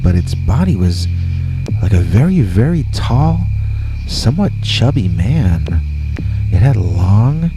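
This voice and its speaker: A man narrating a scripted scary story deliberately, with dramatic pauses. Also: deep voice, low-quality recording. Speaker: host and narrator of scary stories.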